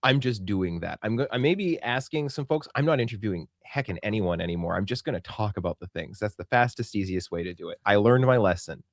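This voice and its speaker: Low-pitched Canadian man, casual and emphatic. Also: animated, fast, hardly any pauses, no echo, studio quality. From Saskatchewan, Canada. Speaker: podcast co-host. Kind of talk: casual chat.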